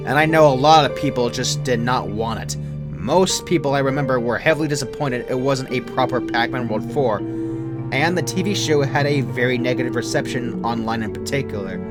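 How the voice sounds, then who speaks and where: A man's voice: medium pitch, moderate pace. Young man narrating a story over music. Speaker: creepypasta narrator. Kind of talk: scripted first-person story narration. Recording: music behind the voice.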